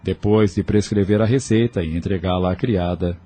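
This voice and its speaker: A man's voice: low-pitched, fairly even intonation, moderate pace, warm tone. A man narrating an audio novel in a scripted, dramatic storytelling style, with faint ambient noise.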